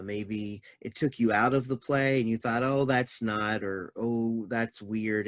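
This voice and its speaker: A man's voice: on the low side, dry recording. A man speaking as a playwright in casual discussion, hesitant and searching for words.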